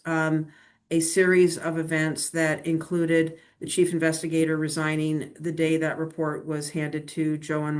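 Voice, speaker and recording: A woman administrator explaining agency events to an advisory council at a meeting, in a measured way with many fillers, speaking into a laptop in a meeting room. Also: sits low. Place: meeting room, same room as a colleague. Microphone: laptop microphone.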